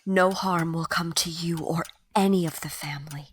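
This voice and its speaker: A woman's voice: lowish voice, fairly even intonation, moderate pace, slight background noise, natural voice. A woman playing a wry, composed Victorian mother in an audio drama, with a formal, dry manner. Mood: grave, hushed. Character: wry, proper middle-class Victorian mother.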